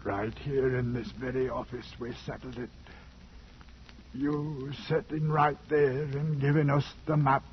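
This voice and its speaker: A man as a slow, broken, deranged wanderer. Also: mid-range voice, little pitch movement, close to an everyday voice. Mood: dazed, broken. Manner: halting, dazed, uneducated speech. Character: broken, deranged British ex-soldier, wandering and haunted. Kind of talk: 1940s radio acting. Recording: muffled, noisy 1940s radio recording.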